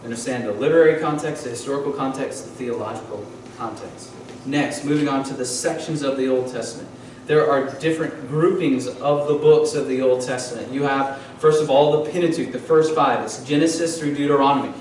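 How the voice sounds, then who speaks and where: A man's voice: mid-range voice, a little fast, a little hiss or hum. A man in his thirties, a pastor preaching a teaching sermon from a pulpit and asking the congregation questions. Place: church sanctuary, at a wooden pulpit. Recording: echoey church hall from far off.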